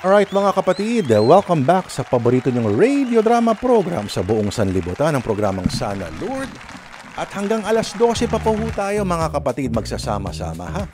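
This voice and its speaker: A man's voice: fairly high pitch, huge pitch swings, moderate pace, a crowd in the background, noisy background. A man hosting and narrating a radio drama program, chatty and dramatic, often addressing his listeners directly. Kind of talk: conversational radio hosting and storytelling. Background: music.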